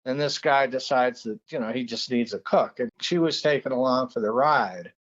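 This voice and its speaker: A man's voice: medium pitch, moderate pace, fairly bright tone. Male author guest, earnest storyteller, restarts his phrases, lo-fi dull line. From Peru. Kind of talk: interview, telling a story.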